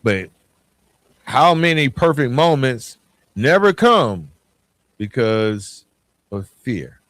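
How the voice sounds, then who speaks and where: American guy doing a motivational podcast, talks straight to you, asks questions, real dry sound, regular mid pitch. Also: big pitch swings, slow, a bit bright, no echo. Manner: informal, direct address with rhetorical questions. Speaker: podcast host.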